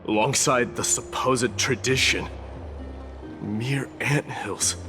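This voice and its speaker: A man's voice: medium pitch, steady tone, slow pace, sharp tone. A man playing a proud, stern warrior prince turned war god in a video game, with a grandiose, declamatory delivery. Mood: scornful. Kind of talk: scripted video game acting. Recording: clear music behind.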